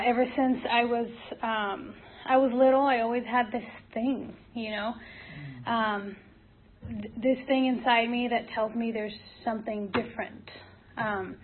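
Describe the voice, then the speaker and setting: Woman speaking haltingly over a tinny, phone-like line. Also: on the high side. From Morocco. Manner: hesitant, halting, with repeated false starts. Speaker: speaker sharing at a support group meeting. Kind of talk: personal testimony, informal public speaking. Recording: tinny phone-like audio, clear noise, clicks.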